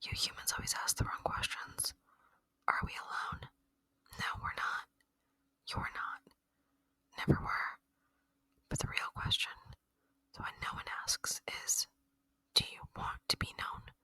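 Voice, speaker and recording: A woman voicing a gentle, lonely, curious alien in a soft, wistful, intimate ASMR roleplay whisper. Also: measured pace, long pauses, dry recording. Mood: musing, intimate.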